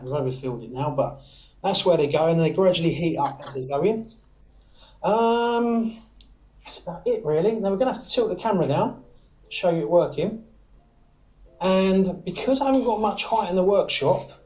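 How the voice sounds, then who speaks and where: British man, higher-pitched voice, casual demonstrator. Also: darkish tone, rough recording. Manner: informal, often checks in with "all right?" and "okay?". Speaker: antenna maker demonstrating his workshop process. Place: small workshop, indoors.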